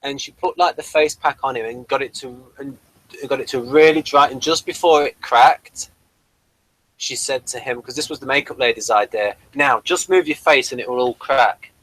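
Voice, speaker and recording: A man with a mid-pitched voice hosts a casual review. He explains details in a halting, conversational way, feeling his way through each point. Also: a bit bright, low-quality recording. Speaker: host of a TV review show.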